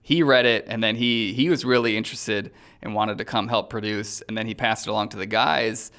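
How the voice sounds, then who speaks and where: Smooth-voiced man chatting in an interview. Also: lowish voice, steady tone, brisk, fairly bright tone. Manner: chatty, acts out quoted dialogue. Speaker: filmmaker being interviewed. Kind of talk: casual animated interview storytelling.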